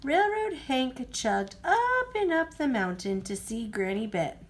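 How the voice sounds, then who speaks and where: A woman reading a picture book aloud to children as an expressive storyteller with sing-song phrasing. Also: high-pitched, measured pace, slight background noise.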